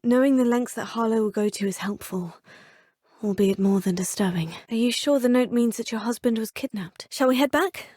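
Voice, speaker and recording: A young woman voicing an earnest, polite witch student in a video game, with a slightly husky voice and formal phrasing. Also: a little high, normal speed, a little mellow, dry recording, clean studio sound, close to an everyday voice. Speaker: player character student.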